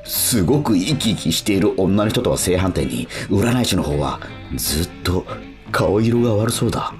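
A man's voice: low voice, very animated, normal speed. An actor playing a cynical young male street musician, narrating a radio drama in blunt, slangy asides. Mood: brooding, defiant. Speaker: narrator and lead character. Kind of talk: first-person radio drama monologue. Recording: acoustic guitar music behind.

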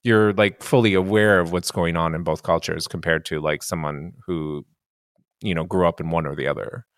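Low-pitched, easygoing male podcast host. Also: steady tone, normal speed, no room sound, studio quality. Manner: easygoing, laughs along, loose with fillers. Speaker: podcast host, indie game producer. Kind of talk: casual interview.

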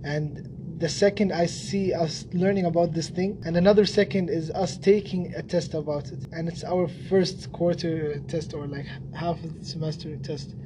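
A teenage high school student whose first language is Arabic, talking hesitantly with fillers and restarted words in a casual podcast interview. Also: male voice, steady tone, normal speed, hardly any pauses, noisy background. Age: teens. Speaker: student guest.

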